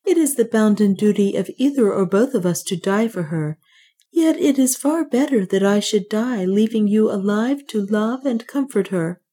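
A woman voicing a gentle, devout male priest in a storybook reading, speaking clearly and calmly. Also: medium pitch, normal speed, pitched above the performer's own voice, natural voice. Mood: gentle resolve.

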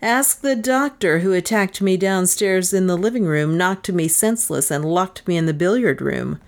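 A woman reader voicing a fierce male detective who jeers and presses hard, in a fairly low voice. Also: expressive, moderate pace. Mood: hostile. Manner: jeering, forceful.

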